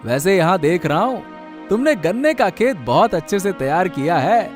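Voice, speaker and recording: A man acts a loyal villager in a cartoon, protective and emphatic. His voice is quite high, with faint music behind it. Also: very animated, normal speed. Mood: reassuring. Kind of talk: Hindi cartoon story voice acting.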